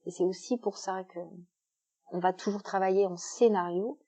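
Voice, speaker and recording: A woman's voice: medium pitch, fairly even intonation, normal speed, mellow. A woman giving an explanatory talk as a guest speaker, walking through everyday examples with frequent hesitations. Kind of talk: explanatory talk at a club meeting.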